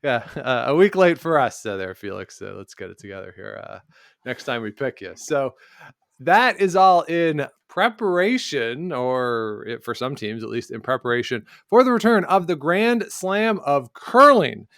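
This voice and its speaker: A man's voice: medium pitch, lively intonation, normal speed, vocal fry, fairly bright tone, no room sound, clean studio sound. A man co-hosting a sports podcast, chatting casually and rambling, often correcting himself mid-sentence. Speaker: podcast co-host.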